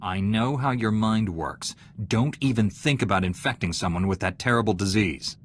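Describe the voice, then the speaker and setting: An actor playing a principled, serious Jedi knight in a video game, with a deep, measured voice. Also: male voice, slight background noise, close to an everyday voice. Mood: grave. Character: earnest, principled, steady male Jedi knight.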